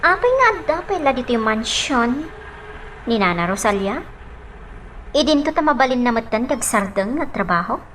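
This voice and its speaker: A woman's voice: a little high, sing-song, normal speed, pauses often, a bit bright, a little hiss or hum, poor audio. A woman acting a caring, insistent young lady in an Ilocano radio drama, earnest and questioning, with a Filipino accent. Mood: questioning, concerned.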